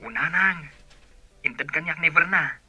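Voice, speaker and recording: Casting: eager Ilocano son, radio drama, very sharp forceful voice, highish, dry recording. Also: male voice, a little slow, breathy edge, no echo, heavily acted. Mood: confiding. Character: eager grown son confiding in his mother.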